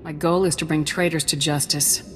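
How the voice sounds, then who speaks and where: An actress playing a dutiful young army lieutenant in a video game, firm, earnest and measured. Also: female voice, sits low, steady tone, fairly bright tone, roomy sound. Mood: resolute. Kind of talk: scripted video game acting. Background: music.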